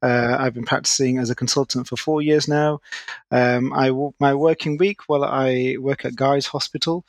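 Man, thirties, articulate podcast guest, middle pitch, dry close sound. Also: steady tone, dry recording. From Manchester, England. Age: 30s. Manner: articulate, explanatory, quick with restarts. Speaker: guest orthodontist. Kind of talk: podcast interview.

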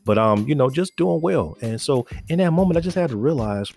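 A man's voice: a little high, animated, a little fast, creaky, a little mellow, soft background music. A man in his forties preaching casually to other men, earnest and exhorting, often restarting and repeating words. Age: 40s. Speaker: faith podcast host.

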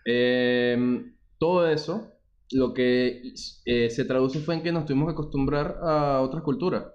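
A man's voice: medium pitch, steady tone, measured pace, highs cut off. A man with a Venezuelan accent, joking and chatting on a podcast. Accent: Venezuelan Spanish. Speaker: podcast co-host. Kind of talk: casual chat.

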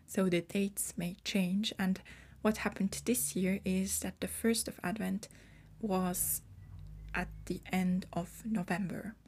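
Slow, breathy woman podcaster. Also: medium pitch, fairly even intonation, darkish tone. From Switzerland. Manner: hesitant, careful wording with self-corrections. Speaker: podcast host. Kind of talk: solo podcast explainer.

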